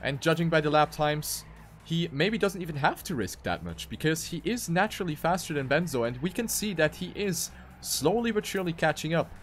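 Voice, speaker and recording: High-pitched male esports race commentator. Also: very animated, a little fast, a little hiss or hum. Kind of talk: live video game race commentary.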